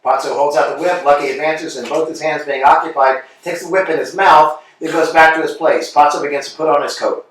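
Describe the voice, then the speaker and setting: A man's voice: on the high side, steady tone, fairly quick, few pauses, fairly bright tone. A man reading out stage directions in a plain, matter-of-fact way during a play reading. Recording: echoey room, far mic.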